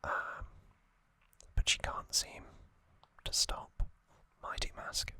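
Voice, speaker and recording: A man whispering an ASMR recap as host, soft and unhurried, with frequent hesitant fillers.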